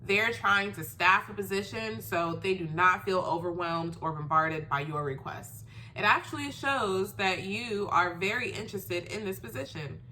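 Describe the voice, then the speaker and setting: A woman's voice: mid-range voice, normal speed, sharp tone, slight background noise, poor audio. Stuffy, nasal woman with a cold. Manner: chatty, emphatic, numbered tips. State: congested from a cold. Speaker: resume writer giving career tips. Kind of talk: casual how-to advice video.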